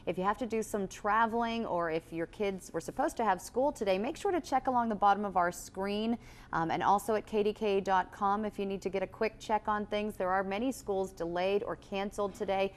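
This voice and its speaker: Medium-pitched woman morning news anchor. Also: brisk.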